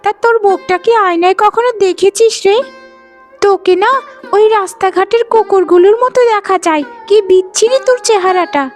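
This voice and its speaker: A woman's voice: very high-pitched, a little fast, faint music behind. A woman voicing a vain, spiteful young bride in a melodramatic cartoon, with a showy, taunting manner. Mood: scornful.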